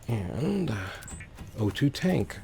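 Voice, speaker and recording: A man's voice: medium pitch, sing-song, slow pace, creaky, warm tone, faint music behind. A man giving casual gameplay commentary, thinking aloud in a whimsical, musing way. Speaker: let's player, host.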